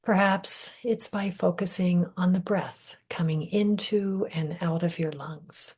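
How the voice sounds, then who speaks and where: A woman leading a guided meditation in a gentle, measured way, breathy and husky, often trailing off into a whisper with deliberate pauses. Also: fairly low pitch, steady tone. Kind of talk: guided meditation, soothing scripted narration.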